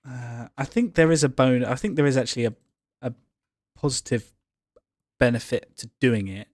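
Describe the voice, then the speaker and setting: Guy on a gaming stream just chatting away, mid pitched voice, dry close audio. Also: moderate pace, no echo. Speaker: live stream co-host. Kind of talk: casual gaming chat.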